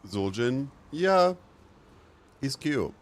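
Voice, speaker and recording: A man's voice: mid-range voice, big pitch swings, extremely slow. A man chatting casually and slangily as he streams a game. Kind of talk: casual livestream chat while gaming. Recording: loud background music. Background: music.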